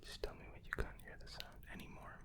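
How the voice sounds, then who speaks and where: A man doing an ASMR medical roleplay in a soft, breathy whisper, slow, methodical and reassuring as he plays an examiner.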